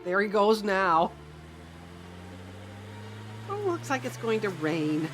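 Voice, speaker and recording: A woman's voice: medium pitch, very animated, slow pace, over music. An actress playing a curious, sympathetic neighbor woman in a radio drama. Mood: chatty. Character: concerned, inquisitive neighbor woman.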